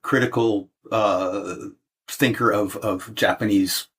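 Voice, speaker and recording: A man's voice: fairly low pitch, fairly even intonation, slow pace, fairly bright tone, slightly rough. A man of seventy from the United States, talking as a guest in a casual interview, hesitant and full of restarts. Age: 70s. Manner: hesitant, many restarts and fillers.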